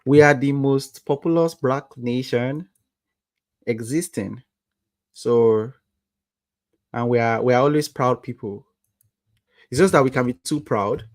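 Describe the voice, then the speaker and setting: A young Nigerian man with a Nigerian accent leads a live coding lesson, working through each step slowly and at an ordinary pitch. He keeps stopping to ask "what?" as a rhetorical question. The sound is dry and close, with no room echo. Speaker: coding livestream instructor. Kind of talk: live coding tutorial with chat.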